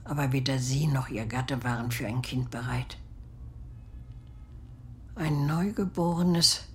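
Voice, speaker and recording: An actress playing a grieving war survivor in a radio play, confiding guilt and secret love in measured, halting phrases that often sink toward a whisper. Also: female voice, deep voice. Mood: tender, subdued confession. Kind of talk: dramatic first-person radio play monologue.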